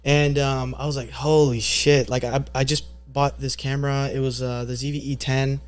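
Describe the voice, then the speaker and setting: A young man from Canada, a podcast guest, telling stories in relaxed slang with plenty of fillers. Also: mid-range voice, normal speed, smooth. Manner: laid-back storytelling with lots of fillers and slang. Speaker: podcast guest, marketing agency owner. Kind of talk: casual podcast chat.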